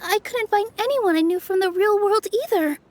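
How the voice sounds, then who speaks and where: A woman playing a gentle, earnest young dancer turned forest fairy in a video game, speaking politely. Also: very high voice, normal speed. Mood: earnest, explaining. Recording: music playing behind the voice.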